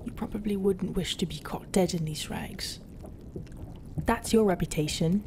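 A woman playing a proud, brooding, non-human pirate captain in a roleplay, guarded and often dropping into a half-whisper with hesitant pauses. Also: lowish voice, noisy background, close to an everyday voice. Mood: brooding, menacing. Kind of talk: roleplay ASMR acting.

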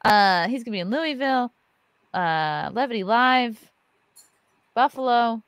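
A woman's voice: fairly high pitch, lively intonation, a little slow, fairly bright tone, clear, resonant tone, no room sound. Woman, 30s, podcast host, casual livestream banter, swears a lot, dry close studio mic. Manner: loose, joking, swears freely. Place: home studio with neon lights. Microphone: large studio mic on arm.

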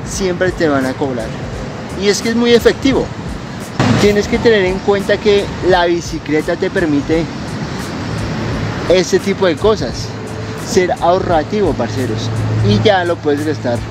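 A man's voice: sits high, animated, unhurried, long pauses. Chatty young Bogotá man vlogging outdoors. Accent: Bogotá Colombian Spanish. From Colombia. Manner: chatty, calls viewers parceros. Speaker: cycling vlogger. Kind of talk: casual vlog to camera. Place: outdoors on city streets. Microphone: handheld or mounted camera close up. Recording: loud background music. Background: music.

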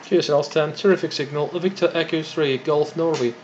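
Male ham radio operator, fairly high voice, procedural and a bit hesitant, faintly noisy recording. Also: steady tone, fairly quick. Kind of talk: ham radio contact exchange. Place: indoors beside a radio set. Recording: dull lo-fi audio with faint noise.

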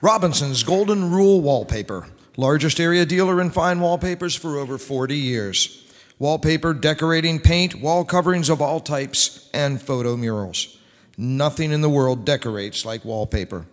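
A man's voice: on the high side, moderate pace. A middle-aged man speaks as a radio show host, reading scripted copy with formal, projected announcer delivery. His voice rings in a large, reverberant hall. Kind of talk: old-time radio announcing, reading scripted ads and introductions. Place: large wood-panelled indoor hall, at a lectern. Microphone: microphone on a stand.

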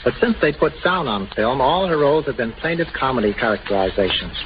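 On a dull, noisy 1930s radio recording, a man with a medium-pitched voice hosts scripted banter. He puts questions and setups to his guest as the interviewer. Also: lively intonation, fast.

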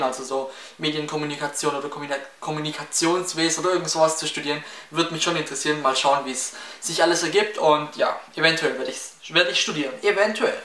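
A man's voice: a little high, sharp tone. A seventeen-year-old young man with a Swabian accent answering viewer questions in a chatty, rambling way, full of fillers and restarts. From Baden-Württemberg, near Stuttgart. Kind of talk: casual Q&A vlog. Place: small room in front of window blinds, indoors. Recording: echoey room, slightly distant mic.

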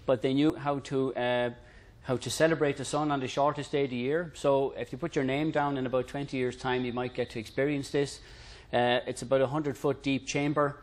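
A middle-aged Irish man presents an informal lecture. His voice sits at an average pitch, and he talks conversationally with light, dry humor, explaining ideas plainly to the room. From Ireland. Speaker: presenter introducing a technical concept.

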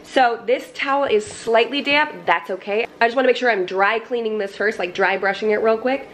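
A woman's voice: on the high side, a little fast, fairly bright tone. Woman in her 30s, home vlogger, casual clean-along chatter, slight room hum. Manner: chatty, self-deprecating, laughs often. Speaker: lifestyle vlogger. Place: kitchen and entryway of a house, indoors.

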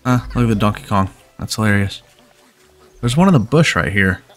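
A man's voice: lowish voice, animated, fairly quick. Congested man chatting over gameplay. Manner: chatty, reads game dialogue aloud in his own voice. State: congested from a cold. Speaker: gaming channel host. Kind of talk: casual let's-play commentary. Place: home room, webcam in corner. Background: faint game music.

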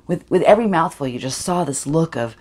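A woman's voice: low-pitched, expressive, fairly quick, mellow. A woman performing a dramatic monologue as a privileged, awkward eighteen-year-old girl, sly and defensive, rambling confessionally. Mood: gossipy, sly. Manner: rambling, confessional, self-justifying.

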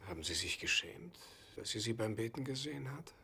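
A man's voice: mid-range voice, sing-song, brisk, vocal fry, noisy background. An actor playing a worldly male questioner who probes with faint sarcasm in a dubbed film. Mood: probing.

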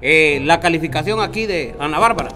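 A man's voice: on the high side, lively intonation, brisk, music in the background. A man playing a bossy, exasperated talent show judge in a comedy sketch, in a large bare hall.

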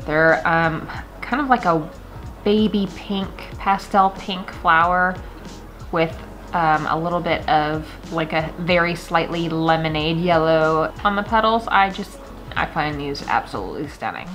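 Woman, thirties, slightly low pitch, casual chatty vlog delivery, enthusiastic, indoor home recording, soft background music. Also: measured pace. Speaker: gardening vlogger. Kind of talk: casual chat to camera, showing seed packets.

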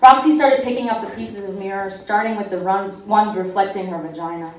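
A woman's voice: medium pitch, a little fast. A young woman giving a theatrical, comedic live reading of a short story, acting out the dialogue. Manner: theatrical, expressive delivery with acted dialogue. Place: stage in a small event room with audience. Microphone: mic on stand, picked up by distant camera. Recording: roomy, distant, noisy live-event recording.

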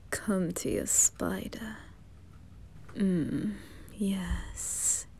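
A woman's voice: lowish voice, mellow, heavily acted. A woman voicing a menacing, possessive male demon butler in a seductive, commanding ASMR roleplay whisper. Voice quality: breathy whisper.

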